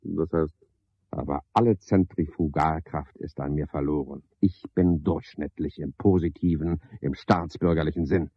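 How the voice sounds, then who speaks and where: An actor playing a garrulous, pompous, sardonic porter at a boarding house, with a husky voice, in a radio play monologue. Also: male voice, a bass voice, steady tone, moderate pace, no echo, dull audio, heavily acted. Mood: scornful, self-satisfied. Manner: rambling, pompous monologue with mocking asides. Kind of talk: 1970s German radio play acting.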